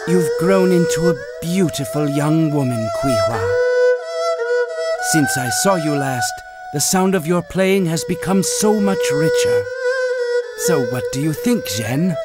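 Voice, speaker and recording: Scheming old man, measured, condescending, very sing-song delivery, slow, loud music behind. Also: a little high, big pitch swings. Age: older. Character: scheming old man returning to settle things. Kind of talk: game cutscene voice acting.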